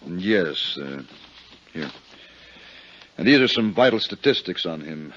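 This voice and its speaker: A man with an American accent acting as a haggard, worried, soft-hearted lawyer in a 1950s radio drama, earnest and hesitant. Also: sits low, sing-song, takes it slowly, exaggerated voice. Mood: earnest, explaining. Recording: muffled vintage radio recording.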